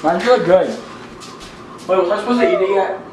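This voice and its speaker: A teenage boy hosting a casual cooking vlog, talking haltingly with many fillers in a home kitchen, recorded by a far camera. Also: sits high. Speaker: vlog host. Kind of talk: casual chat. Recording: echoey kitchen from far off, noisy.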